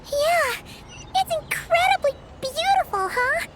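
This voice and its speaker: A woman voicing a tiny, bubbly fairy companion in a video game, with a squeaky childlike voice and exclamatory delivery. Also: animated, slow pace, fairly bright tone, exaggerated voice. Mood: awed, delighted. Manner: bubbly, exclamatory, refers to self by name. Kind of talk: video game character acting.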